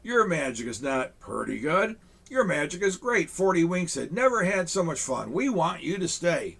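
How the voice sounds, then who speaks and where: A man's voice: on the high side, very animated, normal speed, vocal fry, a bit bright, husky, heavily acted. A man reading aloud as a stern, easily angered small-town mayor in a storybook. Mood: pleading.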